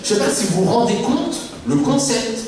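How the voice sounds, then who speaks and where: A man from Mauritius preaching a sermon as a pastor, lively, repeating phrases for emphasis and putting rhetorical questions to the congregation. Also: very high-pitched, normal speed, vocal fry, a crowd in the background. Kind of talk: sermon on family life. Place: church. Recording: echoey church hall from far off.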